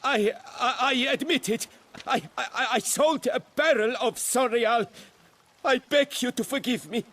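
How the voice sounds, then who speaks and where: A man's voice: very high-pitched, measured pace, a bit bright, full character voice. An actor playing a frightened, guilt-ridden male wine master of noble birth in a video game, stammering and pleading deferentially. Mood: panicked, pleading. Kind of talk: dramatic video game acting.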